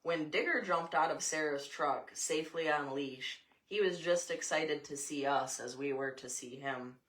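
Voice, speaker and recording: A woman's voice: deep voice, normal speed, a bit bright. An American woman reading a story aloud, with casual asides along the way. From Montana, USA.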